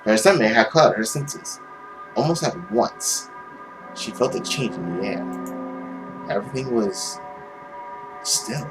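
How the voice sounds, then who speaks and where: A young man with a mid-range voice reads a visual novel aloud for a let's play. His delivery is theatrical and suspenseful, moving freely up and down in pitch. Faint music plays behind him. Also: animated, slow pace, long pauses. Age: young adult. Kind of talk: dramatic read-aloud of a visual novel with casual commentary. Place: indoor room at home. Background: game music.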